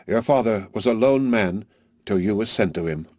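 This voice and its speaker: A man reading aloud, voicing an old, lonely village weaver who talks to his daughter in a slow, subdued, tender way. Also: on the low side, expressive, darkish tone, dry recording.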